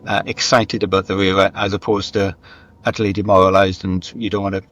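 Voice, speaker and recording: A man's voice: low-pitched, little pitch movement, fairly quick, fairly bright tone, low-quality recording. A middle-aged or older man, an interview guest and screenwriter, talking reflectively and conversationally about his work, often restarting his sentences. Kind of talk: conversational interview, recounting a career.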